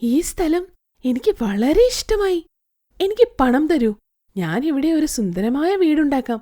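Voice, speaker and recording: A woman's voice: high-pitched, sing-song, unhurried, warm tone. A woman voicing a proud, greedy young daughter-in-law with a demanding, self-satisfied manner in a storybook tale. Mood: pleased, demanding.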